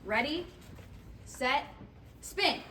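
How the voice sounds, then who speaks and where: A woman's voice: sits high, expressive, drawn-out words, sharp tone, exaggerated voice. A woman playing a sentimental, commanding mother in a comedy table read. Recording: distant mic, noisy room.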